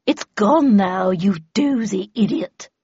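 A woman voicing an imperious, brisk older lady mentor in an audiobook, with clipped, commanding and pompous delivery. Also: fairly high pitch, animated, slightly rough, no echo, lo-fi sound. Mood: vexed, commanding. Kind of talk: audiobook character dialogue.

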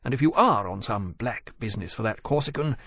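A man voicing a belligerent, half-drunk gentleman who speaks indignantly in a raised, clipped voice. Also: mid-range voice, expressive, brisk, dry recording. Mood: defiant. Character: belligerent tipsy suspicious gentleman. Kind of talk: audiobook character voice. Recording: dry close mic.

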